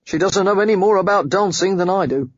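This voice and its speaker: A woman's voice: mid-range voice, fairly quick, no room sound, dull audio, lightly acted. A woman doing a blunt rich man. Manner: blunt, forceful, clipped. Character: brash self-made rich man, blunt.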